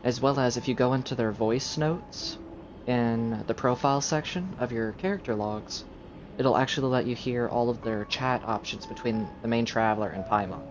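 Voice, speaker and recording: Guy streaming a game, just chatting along, mid voice, game music playing. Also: mid-range voice. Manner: chatty, laughs along, rambles. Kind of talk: casual live gameplay commentary. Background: game soundtrack.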